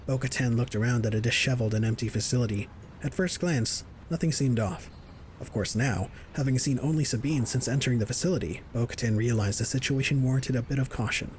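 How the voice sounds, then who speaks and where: A man's voice: medium pitch, fairly quick, a little mellow, a little hiss or hum. A man narrating a scripted science fiction audio drama in the third person.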